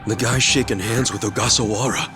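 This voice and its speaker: An actor playing a stunned man who speaks in a hushed, shaken way in a video game. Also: on the low side, brisk, over music, natural voice. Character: stunned man recognizing someone from his past.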